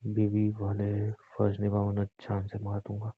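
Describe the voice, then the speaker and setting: A man's voice: fairly low pitch, completely flat delivery, measured pace, slightly rough. Man playing a brooding husband, tinny phone sound. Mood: menacing. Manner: repetitive, brooding, pleading and threatening. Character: distraught, menacing husband, bitter and self-pitying. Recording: muffled phone-like narrowband audio.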